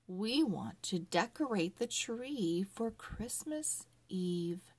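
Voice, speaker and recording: A woman voicing a practical, reassuring mother in a Christmas storybook, with measured, careful phrasing. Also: medium pitch, animated.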